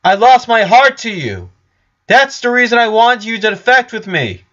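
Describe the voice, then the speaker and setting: A man's voice: very high-pitched, big pitch swings, normal speed, fairly bright tone, highs cut off, voice pushed up, full character voice. A man voicing a determined female unicorn in a story reading, harsh and lovesick. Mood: shouting, pleading.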